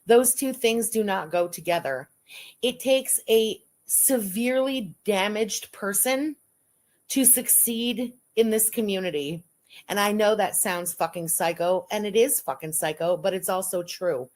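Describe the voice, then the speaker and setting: A woman's voice: medium pitch, measured pace, a little mellow. A woman streamer talking candidly and bluntly on a livestream, with frequent swearing. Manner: blunt and profane. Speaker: online streamer.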